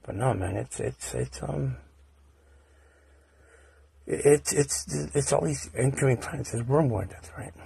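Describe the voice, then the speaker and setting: Raspy, gravelly low-voiced man. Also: mellow, dry recording. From United States. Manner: halting and repetitive, with rhetorical questions. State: hoarse after a recent stomach virus. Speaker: video narrator. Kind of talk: casual rambling commentary.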